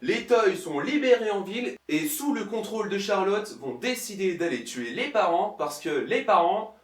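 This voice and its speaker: High-pitched sarcastic man reviewing a film. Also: lively intonation, moderate pace, echoey room, recorded from a distance, slight background noise. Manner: sarcastic, exasperated, colloquial. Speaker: film reviewer, video host. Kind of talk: comedic film review commentary, recapping a plot.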